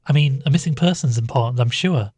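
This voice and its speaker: A man's voice: mid-range voice, quick pace, darkish tone, husky, natural voice. An actor playing an anxious man caught up in an occult mystery, speaking in a hesitant, questioning way in an audio drama. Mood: uneasy.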